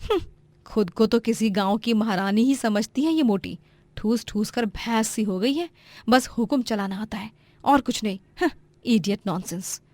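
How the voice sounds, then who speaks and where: Woman voicing an indignant, high-voiced young daughter-in-law. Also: normal speed, a little mellow, no room sound, natural voice. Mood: sulky, scornful. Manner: pleading, argumentative. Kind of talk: animated Hindi moral-story voice acting.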